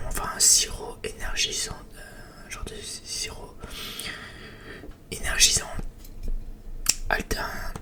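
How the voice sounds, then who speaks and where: A person whispers a breathy, hesitant, rambling ASMR chat to viewers as a video creator.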